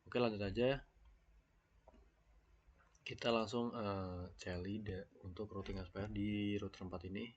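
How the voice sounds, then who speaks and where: A young man with an Indonesian accent and a cold, walking through a screen-recorded tutorial in a somewhat low voice. He talks haltingly, thinking aloud between fillers. The lo-fi mic sounds dull, with faint noise and keyboard clicks behind him. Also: fairly even intonation. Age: young adult. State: sick with a cold. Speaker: student.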